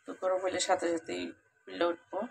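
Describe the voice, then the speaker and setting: Home vlogger, female, Bangladeshi accent. Lowish creaky voice, chatty and direct to viewers, slightly noisy home recording. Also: monotone, brisk. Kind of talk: casual home cooking vlog chat. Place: at home, partly in the kitchen while frying.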